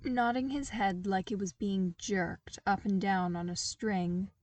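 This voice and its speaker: A woman's voice: mid-range voice, steady tone, a little slow, a little mellow, no echo. A woman reading scripted fiction expressively as an audiobook narrator, close to the microphone.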